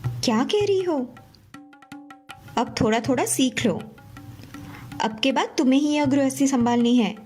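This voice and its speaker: A woman voicing an exasperated, traditional middle-aged mother-in-law with an admonishing manner in an animated story. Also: sits high, unhurried, long pauses, faint music behind. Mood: disapproving. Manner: admonishing, disbelieving. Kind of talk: animated moral story dialogue.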